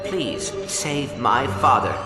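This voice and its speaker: An actor playing a small, polite, earnest robot sidekick in a video game, speaking formally and precisely. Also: male voice, mid-range voice, sing-song, slow. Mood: urgent, earnest. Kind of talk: game cutscene acting. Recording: echoey hall under loud music.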